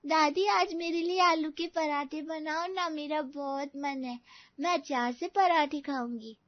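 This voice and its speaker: A woman's voice: high-pitched, fairly even intonation, measured pace, no echo, lo-fi sound, voice pushed up. A woman voicing a sweet, anxious little girl with a small, innocent, pleading voice in a story. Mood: innocent, eager. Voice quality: small childlike voice.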